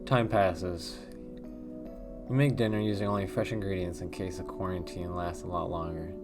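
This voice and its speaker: Deep-voiced man reading a horror game. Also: steady tone, normal speed, music in the background. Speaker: let's play host.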